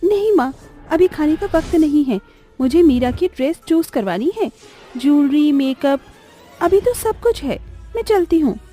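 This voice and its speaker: A woman plays an eager young village woman in an animated tale. Her voice is very high and lively in pitch, chatty and earnest, with music beneath. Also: normal speed. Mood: cheerful, hurried. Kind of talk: Hindi animated horror story dialogue. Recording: music bed under the voice.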